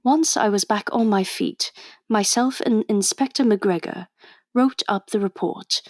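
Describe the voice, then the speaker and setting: A woman's voice: mid-range voice, unhurried, dry recording, studio quality, close to an everyday voice. A woman playing a witty, stubborn Edwardian undercover agent in a radio drama, British accent, with formal, chatty period phrasing. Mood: confiding, reflective. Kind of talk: radio drama acting and first-person narration.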